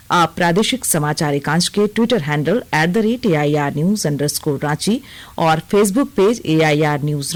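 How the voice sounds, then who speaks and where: A woman's voice: low-pitched, darkish tone. A woman reading a scripted regional radio news bulletin as the newsreader.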